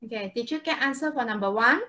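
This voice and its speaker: A woman's voice: fairly high pitch, fast, bright, forceful tone. A woman with a Malaysian accent teaching English conversationally on a video call, hesitant and checking in with students. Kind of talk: live online lesson, conversational.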